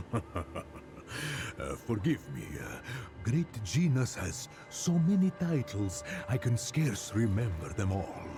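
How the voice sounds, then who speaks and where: A man playing a grave, devout elder storyteller of a dying forest people in a fantasy game, halting and musing in delivery. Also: medium pitch. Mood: reverent, halting. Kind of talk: theatrical fantasy game dialogue. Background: music.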